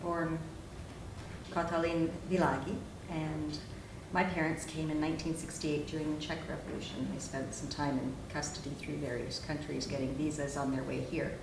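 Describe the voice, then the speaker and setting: A woman in her forties whose first language is Hungarian speaks briskly and wryly in a low, flat voice. She sounds distant in an echoey room with steady hiss. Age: 40s. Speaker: assistant crown attorney. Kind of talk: roundtable introduction. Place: meeting room roundtable.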